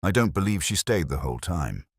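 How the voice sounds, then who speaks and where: A man's voice: low voice, sing-song, a little fast, breathy edge, no room sound, clean studio sound, heavily acted. A man voicing a prim, cautious elderly female librarian with a precise, gossipy manner. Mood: prim, guarded.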